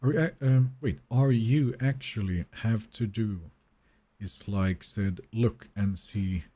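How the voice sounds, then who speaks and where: Young man, first language Finnish, live game streamer, mellow husky voice, chatty with viewers. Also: fairly low pitch, slow. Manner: casual, chatty, answers viewers' messages. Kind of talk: live gameplay stream, reading chat aloud.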